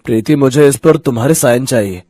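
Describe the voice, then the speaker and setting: A man's voice: mid-range voice, a little fast, warm tone, faint music behind, lightly acted. A man voicing a proud, hot-tempered young husband with an emphatic manner in a cartoon story. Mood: puzzled, impatient. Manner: emphatic, scornful when crossed.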